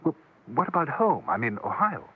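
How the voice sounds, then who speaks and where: An actor playing a courteous, coolly dangerous English gentleman adventurer in a 1950s radio western, speaking formally with a British accent on an old broadcast recording. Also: a little high, sing-song, fairly quick, husky, narrow, tinny audio, full character voice. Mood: gentle, probing. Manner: formal, polite, precise. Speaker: lead character and narrator.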